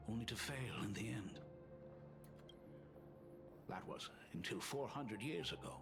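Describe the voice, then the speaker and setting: A man voicing a solemn older family elder who recounts family history in an earnest, measured way in a video game. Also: mid-range voice, vocal fry. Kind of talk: game voice acting. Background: game music.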